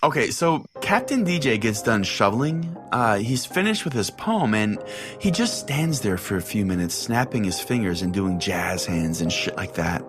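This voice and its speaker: A man's voice: lowish voice, big pitch swings, moderate pace, music in the background. A man playing a crude, self-righteous small-town pastor who hosts a call-in radio show, pompous and foul-mouthed. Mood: earnest storytelling. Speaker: podcast host and storyteller. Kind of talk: comedic storytelling in radio call-in format.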